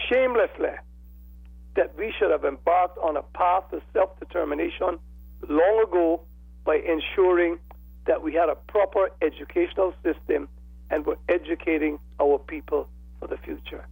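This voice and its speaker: An older man with a croaky, raspy voice, giving deliberate, formal political commentary as a phone-in caller. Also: on the high side, darkish tone, no echo. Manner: deliberate, formal, oratorical phrasing. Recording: telephone call into radio show.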